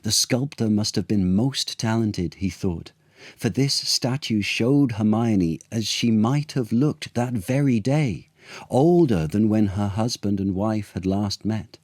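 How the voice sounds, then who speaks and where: Male actor for children's storytime: deep register, unhurried theatrical delivery, very dry sound. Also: a little mellow, dry recording. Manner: theatrical, unhurried, with dramatic pauses. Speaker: actor reading aloud as storyteller. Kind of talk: expressive children's storytime narration of a literary tale.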